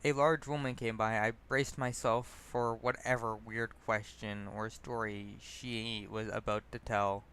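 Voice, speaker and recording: Male narrator, clean smooth tone, reading a self-written personal story with small stumbles and self-corrections. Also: fairly low pitch, level tone, measured pace, few pauses, fairly bright tone. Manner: stumbles over words and corrects himself. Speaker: narrator and author. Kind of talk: reading own written personal essay aloud.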